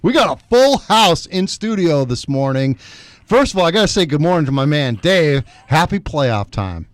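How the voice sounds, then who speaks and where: A man's voice: on the high side, big pitch swings, normal speed, no echo. A man hosting a sports radio show from the studio, chatty and wry with chuckling asides. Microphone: studio microphone.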